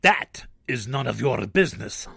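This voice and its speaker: An actor playing a gruff, wary German-American man in a 1940s-style radio drama, with a raspy, creaky voice. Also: medium pitch, animated, measured pace, bright, forceful tone, dry recording, studio quality, full character voice. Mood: hostile. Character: gruff, wary male member of secret German-American circle.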